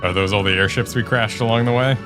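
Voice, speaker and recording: A man's voice: on the low side, fast talker. A man co-hosting a gaming reaction show, commenting in a casual, conversational style. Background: music behind the voice.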